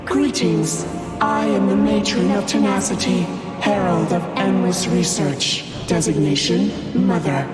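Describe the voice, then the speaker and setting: Game construct: low, impassive robotic caretaker, formal clipped phrasing, echoing over music. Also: female voice, measured pace, long pauses. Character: ancient female titan construct, formal, impassive machine caretaker. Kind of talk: video game cinematic voice acting. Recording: echoey long reverb, music behind.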